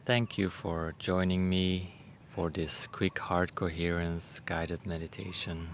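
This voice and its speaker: Slow, deep-voiced man guiding meditation. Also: flat delivery, slight background noise. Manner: gentle, unhurried, long pauses between short phrases. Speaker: meditation guide. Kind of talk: guided meditation, soothing instruction.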